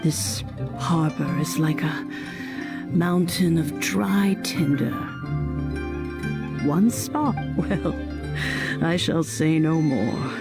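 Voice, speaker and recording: A woman voicing a wise, kindly, wistful old granny in a video game, creaky and ruminative, with music behind. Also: deep voice, full character voice. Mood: grave. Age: older. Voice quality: creaky, aged. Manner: slow, ruminative.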